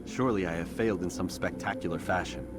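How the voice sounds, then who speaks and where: An actor playing a hardened male assassin who speaks calmly and tersely. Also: deep voice, fast, close to an everyday voice. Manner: calm, terse. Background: loud game score music.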